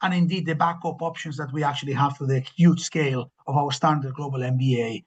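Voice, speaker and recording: A man's voice: fairly high pitch, fairly even intonation, fairly quick. A man speaking as an academic director in a webinar information session, fluent and emphatic, often repeating words as he builds a point. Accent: non-local, not from the host city.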